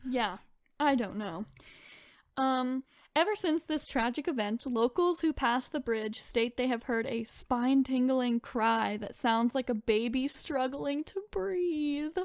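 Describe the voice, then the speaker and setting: A woman's voice: high-pitched, measured pace, no echo, clean studio sound. A woman hosting a podcast, chatting informally and reading spooky stories aloud with casual asides. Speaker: podcast host.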